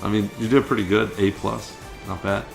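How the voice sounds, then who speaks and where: A man's voice: lowish voice, flat delivery, moderate pace, creaky. A man co-hosting a gaming video with casual, easygoing commentary, riffing along with the jokes. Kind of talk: casual gameplay commentary banter. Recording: loud game music behind the voice. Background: video game music.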